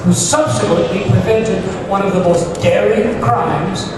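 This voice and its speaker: Guy doing polite chatty old gentleman telling tales on a radio show, high voice, very echoey hall. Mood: teasing. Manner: chatty, formal, measured storytelling. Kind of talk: old-time radio theatre acting. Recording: echoey hall, far off, crowd noise.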